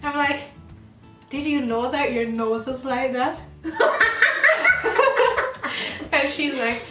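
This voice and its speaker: A young woman from Jamaica co-hosting a casual chat, slow and laughing often. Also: high-pitched, steady tone. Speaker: channel co-host. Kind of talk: casual get-to-know-me chat. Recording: roomy sound, mic at a distance, music behind.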